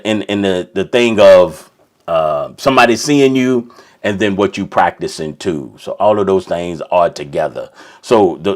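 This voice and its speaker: A man preaching an informal sermon, rambling with many restarts and checking in with "right?". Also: sits low. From Kentucky.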